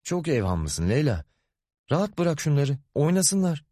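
A man's voice: fairly high pitch, big pitch swings, unhurried, mellow, no room sound, studio quality, voice pushed up. A man voicing a proud, hot-tempered husband and father, stern and exasperated, with indignant warnings. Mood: dismissive.

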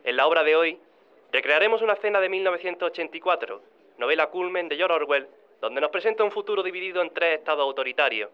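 A man's voice: fairly high pitch, quick pace, clean tone, muffled recording. A man with a Spanish accent from Spain, presenting and narrating a scripted radio drama in a formal, theatrical way. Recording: music behind the voice.

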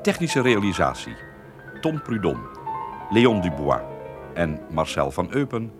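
A male radio announcer with a fairly low voice reads a cast list over clear music. His delivery is slow and formal, with a very varied intonation and deliberate pauses. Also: very animated. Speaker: announcer reading radio drama credits. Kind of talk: scripted broadcast announcement. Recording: prominent music with the voice.

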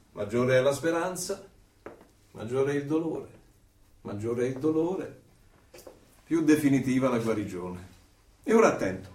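An actor playing a cold, controlling regime interrogator in a radio drama, speaking in a measured, didactic, menacing way with authority. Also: male voice, mid-range voice, darkish tone, natural voice. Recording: roomy, distant, faint noise.

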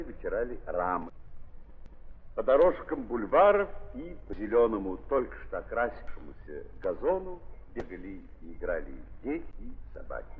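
A man's voice: on the low side, breathy edge. Theatrical man narrating, tinny old recording, sweeping intonation. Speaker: narrator of a stage-based radio play. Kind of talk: 1930s theatrical literary narration. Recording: scratchy, tinny 1930s archive recording with hiss.

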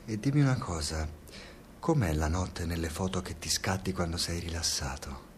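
A man's voice: deep voice, lively intonation, moderate pace, a little hiss or hum. An actor playing a talkative, self-absorbed older man in a radio drama, telling his stories in a rambling, theatrical, hesitant way. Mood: curious, quieter.

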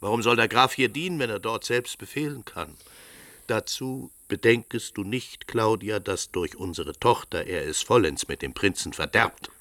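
A man's voice: fairly low pitch, moderate pace, bright, forceful tone, no echo. An actor playing a stern, proud, hot-tempered middle-aged father, declaiming vehemently in classic radio drama style. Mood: stern, admonishing. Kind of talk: 1950s German radio drama acting.

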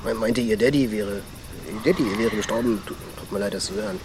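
A man's voice: fairly low pitch, fairly even intonation, fairly quick, background noise, close to an everyday voice. A man acting a shifty small-time crook in a crime radio drama, stammering nervously and repeating his words. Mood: agitated, gossipy. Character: jittery, shifty small-time male crook fishing for accomplice. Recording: night outdoor ambience with chirping crickets.

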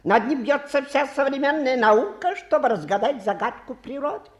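A man's voice: extremely high pitch, expressive, moderate pace. An actor playing a self-important, old-fashioned provincial old man, a touring showman, in a 1950s radio play. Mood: pompous, insistent. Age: older. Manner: pompous, grandiloquent.

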